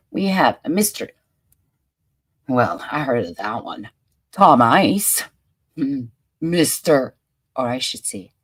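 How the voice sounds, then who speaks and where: Low, slow-talking woman with a French accent. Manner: chatty, playful, laughs and muses aloud. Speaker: host. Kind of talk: casual commentary on news clips.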